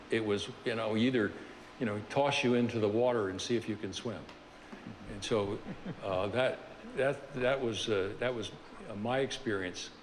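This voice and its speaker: An older man giving a university seminar talk, reflecting on his career in a halting way, full of "uh"s and restarts. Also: fairly low pitch, in a large hall, slight background noise, low-quality recording. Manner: halting, many fillers and restarts. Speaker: seminar speaker. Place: large university seminar room.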